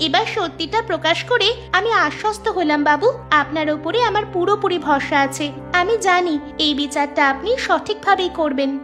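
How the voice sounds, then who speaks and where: A woman's voice: very high-pitched, normal speed, a bit bright. A woman voicing a determined, crafty young village girl in a Bengali cartoon, speaking firmly and insistently. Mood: earnest. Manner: firm, insistent. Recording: music behind the voice.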